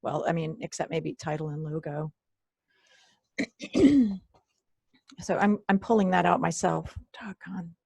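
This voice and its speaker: A woman presenting an online workshop, hoarse and losing her voice, often clearing her throat and apologizing. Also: fairly low pitch, animated, slow, pauses often, a little mellow, dry recording. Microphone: computer mic on a video call.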